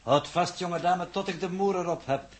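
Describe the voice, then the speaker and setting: An actor playing an iron-tough, imperturbable mission coordinator in a 1960s radio drama, formal and precise. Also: male voice, sits high, moderate pace, natural voice. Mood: brisk, commanding. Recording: slightly noisy 1960s radio recording.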